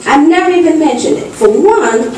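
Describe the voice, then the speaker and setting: A woman in her fifties telling a personal story at a public meeting, in deliberate, dramatic phrases broken by pauses. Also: high-pitched, lively intonation, darkish tone, slight background noise. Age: 50s. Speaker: audience member giving testimony. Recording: distant mic in very echoey hall.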